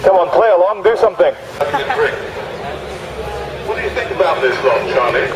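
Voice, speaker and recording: A man with a fairly high voice blares mock-official announcements through a megaphone. He stays deadpan as a street performer, with clear outdoor noise and vehicles behind him. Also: normal speed. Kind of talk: mock public announcements to passers-by. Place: outdoors on a busy city street.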